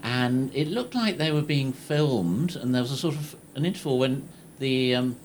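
A man asking a casual, measured and inquisitive question from the audience at a live event. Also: medium pitch. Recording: clearly noisy live room recording.

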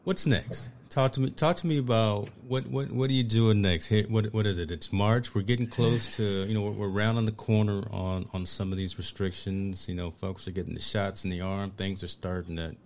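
A man's voice: fairly low pitch, fairly even intonation, moderate pace, few pauses, darkish tone, a little hiss or hum. A man hosting an interview podcast, talking in an enthusiastic, casual way and often repeating words as he goes. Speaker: podcast host.

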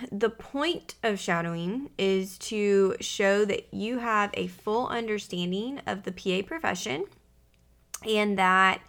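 Female podcast host, mid-pitched with noticeable vocal fry, upbeat, casual advice-giving delivery. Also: a bit bright. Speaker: podcast host and pre-PA advisor. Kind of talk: casual conversational podcast talk.

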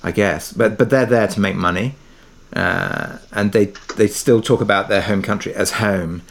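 Low-voiced, hesitant British man. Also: moderate pace, creaky. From Britain, partly raised abroad in Kuwait. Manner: hesitant, thoughtful, circling back to rephrase. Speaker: interview guest, audio drama creator. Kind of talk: reflective interview conversation.

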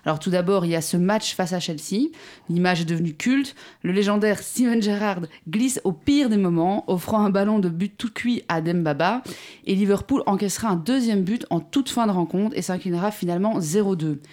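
A woman's voice: medium pitch, brisk, darkish tone. A woman with a Belgian French accent reading her football column on a radio show, wry with teasing asides.

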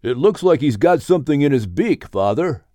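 A man voicing a calm, level-headed young son. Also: fairly high pitch, normal speed, no echo, studio quality.

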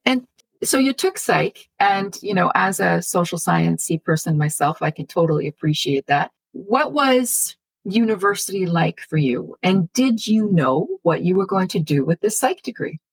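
A woman's voice: fairly low pitch, expressive, normal speed, gravelly, slightly rough, dry recording, poor audio. A woman hosting a podcast interview, introducing her guest and asking questions with enthusiastic, effusive delight. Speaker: podcast host.

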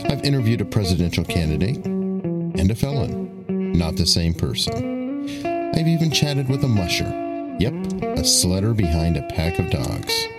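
A man's voice: low voice, sing-song, a little slow, pauses often. A man who hosts an interview podcast, reading a scripted trailer in a playful, wry manner. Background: loud guitar music.

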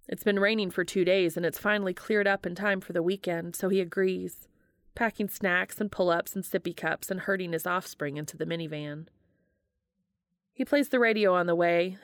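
A woman's voice: on the low side, dry recording, clean studio sound. A woman reading scripted fiction aloud as an audiobook narrator. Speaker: fan fiction audiobook reader.

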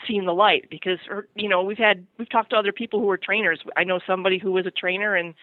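A woman's voice: mid-range voice, fairly even intonation, quick pace, no echo, phone-quality sound. A middle-aged woman telling personal stories casually on a podcast, candid and self-deprecating, over thin call audio. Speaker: podcast segment host.

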